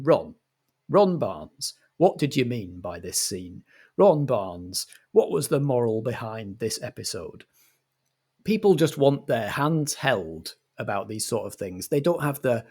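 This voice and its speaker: Man acting a pompous, husky-voiced TV auteur. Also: mid-range voice, darkish tone. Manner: pompous, deliberate, with weighty pauses. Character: pompous, self-important male TV writer-director being interviewed. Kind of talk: improvised mockumentary interview.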